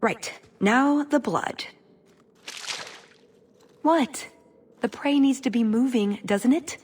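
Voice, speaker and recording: A woman playing a playful, quick-witted young elf adventurer in a scripted fantasy game. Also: high-pitched, darkish tone, slightly rough, heavily acted. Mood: playful, wry.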